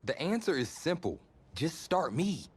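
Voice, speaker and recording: A man's voice: fairly high pitch, sing-song, a little slow, husky, exaggerated voice. A man playing a proud, defensive young college quarterback in a naturalistic video game cutscene. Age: young adult. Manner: defensive, argumentative.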